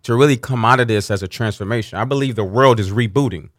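A man's voice: mid-range voice, quick pace, no echo, clean studio sound. A man co-hosting a casual talk show, an entrepreneur speaking earnestly and spiritually, with frequent "you know". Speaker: co-host, entrepreneur. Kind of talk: casual chat.

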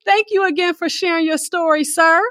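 A woman hosting a podcast, speaking in an effusive, gracious and deliberate way. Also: extremely high pitch, fairly even intonation, fairly bright tone, no room sound, studio quality. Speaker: podcast host. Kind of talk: warm interview hosting with sign-offs.

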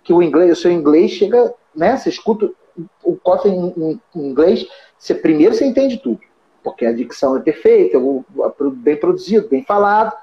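A man's voice: sits high, lively intonation, fairly quick. A Brazilian man hosting a live podcast over a video call, asking questions in a joking, slangy way. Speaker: podcast host. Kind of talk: casual interview chat. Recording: dull, slightly noisy live stream audio.

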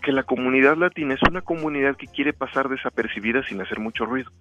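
A man's voice: medium pitch, fast talker. A man talking casually as an interview guest, explaining things and often voicing imagined dialogue. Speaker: interview guest, author and researcher. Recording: dull narrow-band dry sound, music behind.

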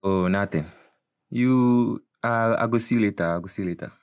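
A man's voice: fairly low pitch, normal speed, clear, resonant tone, natural voice. A man with a Ghanaian accent playing a breezy, boastful rich young friend in an audio drama, talking in a jokey, mocking way. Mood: amused, mocking.

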